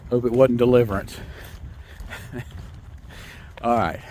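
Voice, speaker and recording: Southern man, folksy and rambling, self-amused hiker vlog, mid-pitched voice, faint outdoor noise behind. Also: fairly even intonation, slow pace, a little mellow. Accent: Southern American. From North Carolina, USA. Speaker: hiker vlogger. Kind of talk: casual walking vlog. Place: outdoors on a rural trail.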